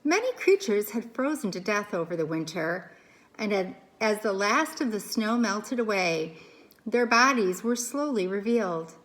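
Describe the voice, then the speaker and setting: A woman reading a children's book aloud as a teacher would, with expressive narration, dramatic pauses and questions for young listeners. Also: medium pitch, low-quality recording.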